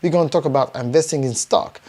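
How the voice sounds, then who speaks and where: A man with a French accent, a native French speaker, giving a live motivational pitch to viewers as a business coach, emphatic and repetitive, with frequent rising bursts. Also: fast, warm tone, slightly rough. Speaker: business coach promoting his event.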